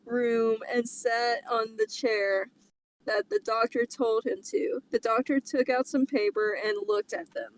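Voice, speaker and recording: A woman's voice: fairly high pitch, fairly even intonation, unhurried, poor audio. A woman chatting casually and reading a story aloud for comedy, with a teasing, giggly manner. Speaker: podcast co-host reading a fan fiction aloud. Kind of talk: casual chat and comic read-aloud.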